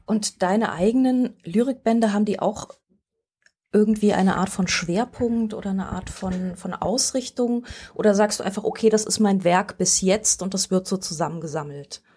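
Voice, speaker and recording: Husky-voiced woman interviewer. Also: medium pitch, normal speed, warm tone. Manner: attentive, frequent short backchannels.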